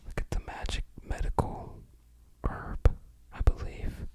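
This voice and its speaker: This is a soft, breath-only whisper from an ASMR host. The delivery is casual and musing, like unhurried unboxing commentary. Remarks come out tentatively, as quiet thinking aloud.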